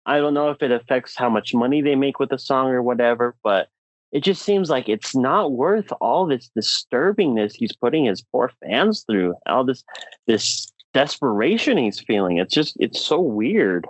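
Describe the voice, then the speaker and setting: A man's voice: mid-range voice, expressive, moderate pace, dry recording, rough recording. A man co-hosting a podcast, bantering casually with theatrical, exasperated emphasis. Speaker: podcast co-host.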